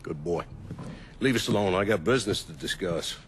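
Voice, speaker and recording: An actor playing a menacing, domineering middle-aged crime boss in a video game, blunt and profane. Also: male voice, deep voice, lively intonation, normal speed, slight background noise. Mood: gruff, businesslike. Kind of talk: video game cutscene acting.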